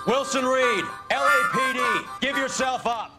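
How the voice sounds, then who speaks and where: An actor playing a keen, forceful policeman with an American accent. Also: fairly high pitch, animated, a little fast, close to an everyday voice. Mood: shouted command. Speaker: police officer. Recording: loud music behind voice.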